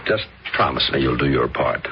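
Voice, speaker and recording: A man's voice: a bass voice, lively intonation, normal speed. An actor playing a hopeful, trusting middle-aged father in a radio drama, speaking in an earnest, reassuring way. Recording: muffled old radio recording with hiss.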